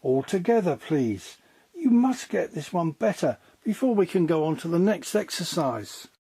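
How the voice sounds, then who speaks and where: A firm, encouraging ballet mistress from a children's storybook, acted out by a man. The voice is lifted high and a little husky, with precise, commanding instruction for young pupils. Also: very animated, normal speed, dark tone, no echo, heavily acted. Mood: brisk, commanding. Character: patient but exacting female ballet teacher, encouraging and firm.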